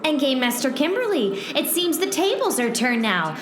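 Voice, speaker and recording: A woman playing an impatient, showy villain's sidekick in an audio drama, shrill, taunting and dramatic. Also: sing-song, normal speed. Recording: echoey, loud music underneath.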